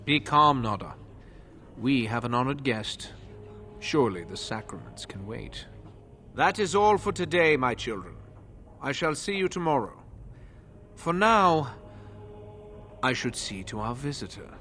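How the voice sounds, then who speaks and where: An actor playing an older male cult priest in a fantasy game, formal and measured in his speech. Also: medium pitch, sing-song, pushed and bright, soft background music. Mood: calm, courteous. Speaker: preacher. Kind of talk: scripted fantasy game acting.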